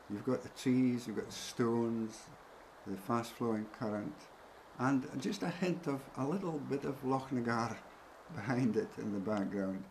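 An older male painter, interviewed in his studio, reminisces gently, with soft laughs and hesitations. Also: medium pitch, a little hiss or hum, poor audio. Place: indoor studio.

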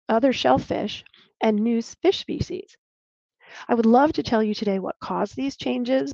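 A woman archaeologist presents her findings over a video call from a room at home. Her voice sits a little high with some vocal fry, and she explains carefully, now and then restarting a word. The laptop audio is dull, lo-fi and dry. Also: a little mellow, dry recording. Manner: clear explanatory lecturing with small restarts. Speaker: archaeologist giving a talk. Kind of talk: presenting a lecture with slides.

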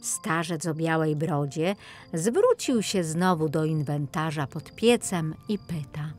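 Low-pitched woman narrating a fairy tale. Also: lively intonation, slow pace, darkish tone, faint music behind. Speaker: audiobook narrator.

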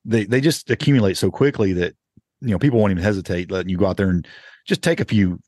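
A man's voice: on the low side, fast talker, a little mellow, no echo, studio quality. A man co-hosting a homesteading podcast, chatting casually and rambling with lots of restarts. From Indiana. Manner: rambling, repeats himself, many restarts. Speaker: podcast co-host. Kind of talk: casual gardening chat.

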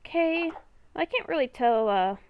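A woman giving casual gameplay commentary, talking to herself in short, halting phrases. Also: sits high, animated, muffled recording, a little hiss or hum. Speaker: gamer recording a roleplay series.

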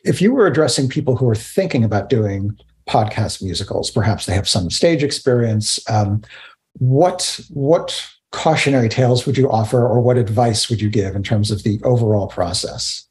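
A man's voice: mid-range voice, animated, moderate pace, darkish tone. A middle-aged man conducting an interview over a video call, posing questions in a conversational way. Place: indoors on a video call, virtual backdrop.

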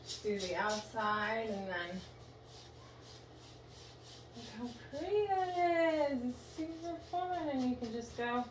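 A woman's voice: on the high side, expressive, slow pace, pauses often. A woman in her thirties chatting casually as she paints furniture, gushing, with lots of fillers. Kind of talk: casual how-to narration while working. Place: tiled room indoors at home. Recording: echoey room, distant mic, noisy.

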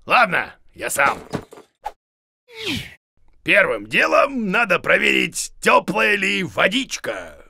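A man voicing a silly, boastful, excitable bearded creature in a children's cartoon, bumbling and exclaiming theatrically. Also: high voice, takes it slowly, gravelly, pauses often, husky, heavily acted. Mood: excited. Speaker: main character of a children's series. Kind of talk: animated children's cartoon voice acting.

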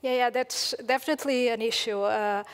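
Flat-voiced woman in a reverberant hall. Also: a little high, moderate pace, clean tone. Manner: hesitant, many fillers. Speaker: panelist, energy expert. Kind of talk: panel discussion answer. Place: conference stage, indoors. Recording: reverberant stage room.

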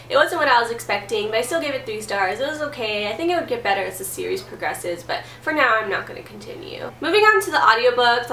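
A woman's voice: mid-range voice, sharp tone. A young woman in her twenties with a stuffy cold, chatting casually about books from her bedroom, with lots of "like" and "um". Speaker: book vlogger. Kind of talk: casual chat. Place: bedroom, indoors. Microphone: camera mic at a distance. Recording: roomy, a bit distant, faint hiss.

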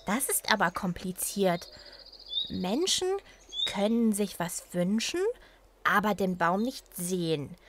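A woman's voice: mid-range voice, lively intonation, takes it slowly, background noise. A woman voicing a cheerful, friendly little female cloud in a children's audio drama, talking with wonder and enthusiasm.

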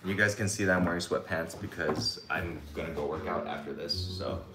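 A man in his thirties chats loosely through a home cooking stream, his voice sitting low and easy. He rambles with plenty of "like" and "um" while the camera sits a few feet off. Music plays clearly underneath him. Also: fairly quick. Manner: relaxed, rambling, lots of "like" and "um". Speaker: cooking livestream host. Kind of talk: casual cooking livestream chat. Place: home kitchen, indoors. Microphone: camera set a few feet away.

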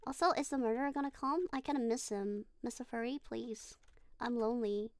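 A woman's voice: a little high, fairly even intonation, brisk, clear, resonant tone, no echo. A woman streaming a horror game, chatting casually, giggling and talking to her character. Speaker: VTuber streamer. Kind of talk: casual gaming livestream chat.

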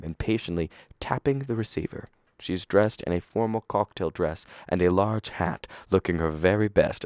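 A man's voice: deep voice, a little mellow, no room sound, clean studio sound. A man narrating a radio play, reading stage directions from a script.

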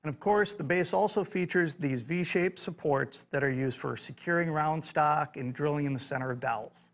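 A man's voice: a little high, normal speed. A middle-aged man giving an enthusiastic, conversational product demonstration to camera, stressing his points. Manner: conversational, emphatic. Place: indoor woodworking shop.

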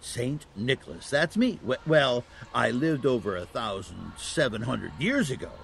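A man's voice: medium pitch, expressive, unhurried, creaky, a bit bright, a little hiss or hum. A man playing a kindly old Saint Nicholas in a family audio drama, explaining patiently with chuckles and hesitations. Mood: genial.

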